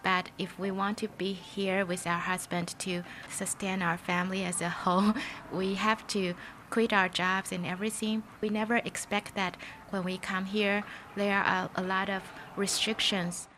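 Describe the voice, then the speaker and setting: A woman's voice: medium pitch, little pitch movement, unhurried, fairly bright tone, slight background noise. A woman from Taiwan answering interview questions in careful, earnest English with some hesitations.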